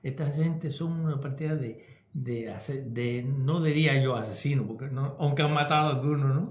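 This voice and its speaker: A man's voice: medium pitch, moderate pace, reverberant. Older Cuban man, emphatic radio talk. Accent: Cuban Spanish. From Cuba. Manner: emphatic, checks in with "¿ok?". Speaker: radio co-host, pastor and psychologist.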